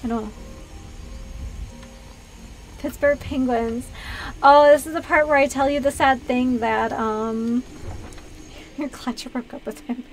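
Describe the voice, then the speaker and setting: A woman's voice: sits high, steady tone, slow pace, pauses often, faint music behind, low-quality recording. A woman with an American accent, a streamer from the US East Coast, chatting casually with viewers in a hesitant, rambling way, thinking aloud. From US East Coast, Pennsylvania. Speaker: video game streamer and host. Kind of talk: casual livestream chat with viewers.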